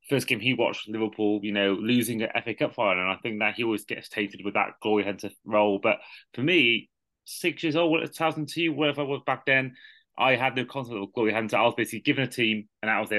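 A man's voice: lowish voice, fairly even intonation, quick pace, sharp tone. A young man, hosting a casual podcast chat and rambling through his points with many restarts. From Wales. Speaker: podcast host.

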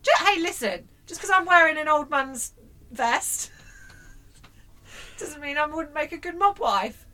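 A woman co-hosting a podcast, chatting casually, self-mocking and quick to laugh, with the odd swear. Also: sits high, animated, pushed and bright. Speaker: podcast co-host. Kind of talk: casual chat.

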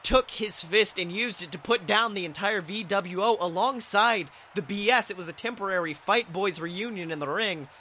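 Excitable male wrestling commentator, acted by a man, dramatic announcer delivery, high and sharp, faint noise behind. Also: normal speed, hardly any pauses. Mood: earnest, dramatic. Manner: dramatic, announcerly, easily outraged. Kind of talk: sports entertainment commentary.

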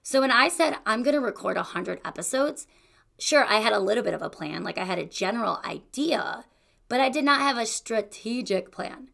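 A woman's voice: fairly high pitch, expressive, moderate pace, creaky, fairly bright tone. A young woman hosting a solo podcast, talking in a chatty, encouraging way about goals and excuses. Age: young adult. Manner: chatty and encouraging, with fillers like "you know" and "like". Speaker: podcast host. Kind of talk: casual solo podcast monologue.

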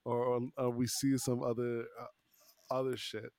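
A man in his thirties from Long Island, hosting a relaxed conversation with friends at a middle pitch. His talk circles back on itself with restarts and frequent check-ins with the others. The recording is dry and close, with little room sound. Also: unhurried, clear, resonant tone, no echo. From Long Island, New York. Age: 30s. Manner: chatty, repeats phrases, asks "you know what I'm saying". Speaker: podcast host. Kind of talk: casual group chat.

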